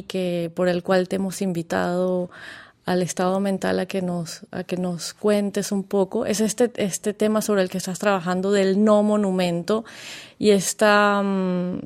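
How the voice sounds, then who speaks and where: A woman's voice: mid-range voice, level tone, unhurried. Woman host asking winding questions. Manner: long winding questions with fillers. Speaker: interview host. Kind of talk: interview hosting.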